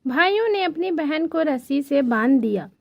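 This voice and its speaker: Female storyteller for kids' tales: high voice, measured scripted narration, dry and slightly muffled recording. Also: animated, creaky, dry recording.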